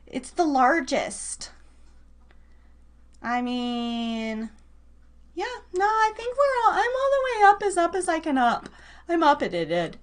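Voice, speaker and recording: A woman's voice: sits high, big pitch swings, slow pace, pauses often. A woman hosting a live radio show, chatting informally and rambling in a self-conscious way. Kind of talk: casual live show chat.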